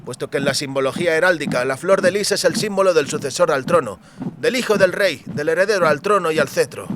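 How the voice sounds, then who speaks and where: A man's voice: fairly high pitch, fast talker, background noise, pitched above the performer's own voice, close to an everyday voice. A man voicing an earnest, bookish young man who explains things formally and pedantically in a fantasy audiobook. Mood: eagerly lecturing.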